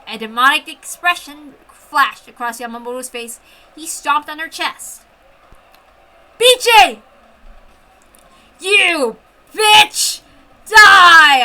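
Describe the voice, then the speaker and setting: A woman's voice: sits high, pushed and bright, poor audio. A woman reading a visual novel aloud as a dramatic narrator, with casual asides. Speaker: story reader.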